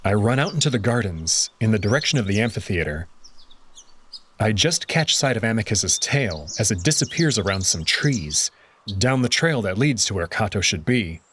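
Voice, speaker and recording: A man narrating a visual novel in the first person with an expressive, dramatic reading. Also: on the low side, normal speed, slight background noise.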